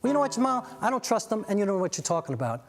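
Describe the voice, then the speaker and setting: A man's voice: sits high, big pitch swings, racing. A man voicing Esther, a fiery young woman. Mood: defensive. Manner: sharp, defensive, swearing.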